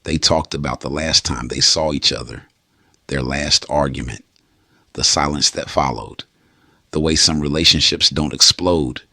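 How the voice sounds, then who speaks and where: Male narrator, very low voice, intimate bedtime storytelling, dry booth sound. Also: normal speed, creaky, pushed and bright, dry recording. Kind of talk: scripted storytelling.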